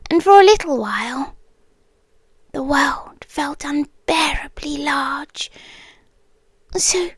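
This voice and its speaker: A British woman playing a possessive, softly menacing yandere queen in an ASMR roleplay, speaking in a soft, breathy voice that often drops to a whisper, close to the mic. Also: high-pitched, no room sound, exaggerated voice. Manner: slow, deliberate, intimate. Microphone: close, dry microphone.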